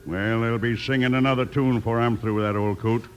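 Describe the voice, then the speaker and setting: An actor playing a scheming, domineering ranch boss in a radio Western, with a gruff American Western accent. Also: male voice, mid-range voice, steady tone, a little fast, highs cut off, slight background noise, natural voice. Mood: angry. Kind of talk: old-time radio Western acting.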